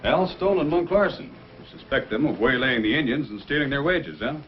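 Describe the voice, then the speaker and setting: An actor playing an authoritative Mountie sergeant with a brisk, businesslike manner in an old-time radio adventure. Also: male voice, medium pitch, animated, faint music behind, natural voice. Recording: dull old radio, faint noise.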